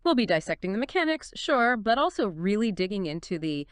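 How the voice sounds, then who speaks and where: A woman's voice: mid-range voice, big pitch swings, fairly quick, smooth, no room sound. A woman hosting a podcast, talking through an explainer in a conversational but scripted way. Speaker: podcast host.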